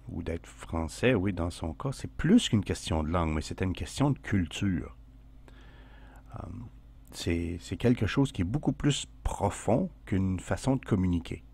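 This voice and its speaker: A man's voice: low-pitched, gravelly, a little mellow, breathy edge. A man from Canada, hosting a solo podcast and thinking aloud with many fillers and restarts. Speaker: podcast host. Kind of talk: casual solo podcast talk.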